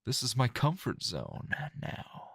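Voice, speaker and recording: A man playing a weary, flirtatious male teacher in an intimate ASMR roleplay, with a languid, dry drawl full of sighs and pauses. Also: mid-range voice, huge pitch swings, gravelly, fairly bright tone, husky, no room sound, heavily acted. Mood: teasing, soothing.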